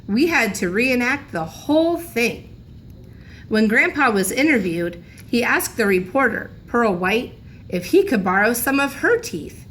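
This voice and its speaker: A woman's voice: a little high, sing-song, a little slow, fairly bright tone, slight background noise, low-quality recording. A woman reading a children's storybook aloud like a teacher, expressively, lingering on key phrases for emphasis.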